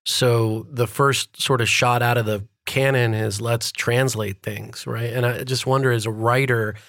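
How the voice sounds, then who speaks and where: A man's voice: medium pitch, fairly even intonation, measured pace, vocal fry, a bit bright, no room sound. Guy hosting an interview podcast, medium voice, long rambly questions, dry close sound. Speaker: podcast host. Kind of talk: conversational interview.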